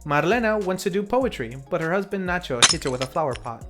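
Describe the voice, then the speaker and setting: A man's voice: sits high, animated, fast. A man hosting a film review series, reading a scripted recap with playful, self-mocking commentary. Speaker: host and narrator of a retro lucha libre film review series. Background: music.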